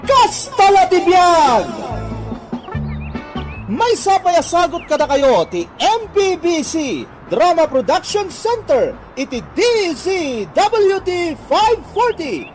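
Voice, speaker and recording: A woman introduces a radio drama in a very high, sweeping voice. She is declamatory and emphatic, speaking slowly as an announcer. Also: sing-song, darkish tone, faint music behind.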